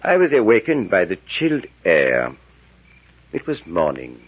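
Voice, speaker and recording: Secretive, solemn professor for vintage radio adventure; husky, dramatic storyteller, muffled old sound. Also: male voice, low-pitched, wildly swooping pitch, unhurried, heavily acted. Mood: haunted, dramatic. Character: grave, secretive male professor recounting horrors. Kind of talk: 1940s radio drama acting. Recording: dull vintage radio recording.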